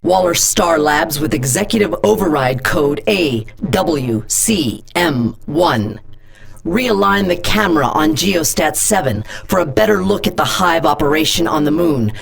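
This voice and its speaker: A woman's voice: low-pitched, animated, unhurried, creaky, full character voice. An actress voicing a stern female government agency director in a video game, blunt and intimidating, giving orders over radio-style briefings. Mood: commanding. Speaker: game character. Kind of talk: game voice lines.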